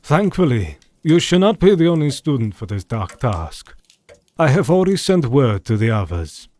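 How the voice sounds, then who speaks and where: An actor playing a grave older doctor and mentor in an audio drama, speaking formally and deliberately. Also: male voice, mid-range voice, big pitch swings, dark tone, natural voice. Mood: solemn, instructive. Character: grave older male doctor and mentor, solemn vampire hunter.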